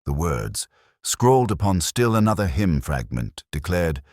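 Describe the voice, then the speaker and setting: A man narrating a mystery story in a dramatic, scripted style with a measured, literary delivery. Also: sits low, big pitch swings, a little mellow, no room sound, studio quality.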